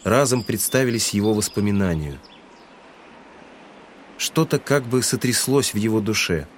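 A man's voice: on the low side, animated, moderate pace, a little hiss or hum. A man reading scripted literary narration for an audio drama.

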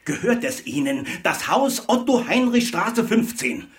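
A man's voice: high-pitched, very animated, a little slow, distant mic. An actor in a German radio play voicing a stubborn, eccentric, mistrustful male tenant with a formal, insistent, theatrical delivery. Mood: urgent, demanding. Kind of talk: 1980s German radio drama acting.

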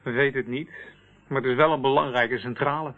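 An actor playing a harried, sceptical police officer in a Dutch radio drama, on a tinny old recording. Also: male voice, medium pitch, steady tone, fairly quick, lightly acted. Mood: grumbling. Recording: tinny, band-limited old radio play.

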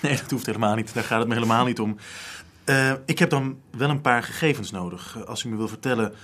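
An actor playing an eager, polite young student art sleuth in a radio drama, speaking earnestly with some hesitation. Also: male voice, mid-range voice, quick pace, fairly bright tone, slight background noise, close to an everyday voice. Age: young adult.

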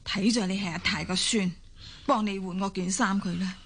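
A woman's voice: medium pitch, steady tone, moderate pace, slight background noise, close to an everyday voice. An actress with a Hong Kong Cantonese accent playing a grumbling but caring woman in a radio drama, sighing and exclaiming. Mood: exasperated. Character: grumbling, caring woman tending a drunk relative. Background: loud music.

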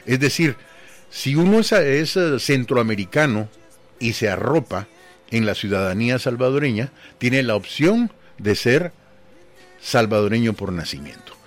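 A man's voice: medium pitch, lively intonation, a little slow, faint music behind. A man, a radio DJ guest telling rambling stories about his life and acting out the people in them. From Guatemala. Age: 60s. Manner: rambling anecdotal storyteller, voices quoted people. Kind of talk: casual radio interview storytelling.

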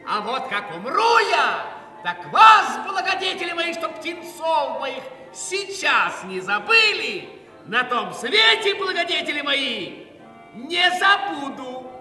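An actor playing an anguished poor man, declaiming a theatrical monologue with great passion, swinging from hushed words to cries. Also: very high-pitched, very animated, slow, full character voice. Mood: pleading. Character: anguished poor man bewailing a starving beggar child. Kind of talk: theatrical dramatic monologue in an audio play. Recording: echoey hall, distant mic, music behind.

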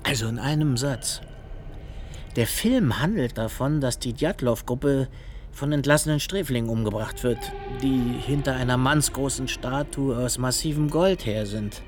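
A man's voice: mid-range voice, measured pace, a little hiss or hum, close to an everyday voice. A man playing an agitated, boastful film director in a radio play, stammering as he rants with derision. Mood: scornful, bitter. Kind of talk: dramatic radio play dialogue.

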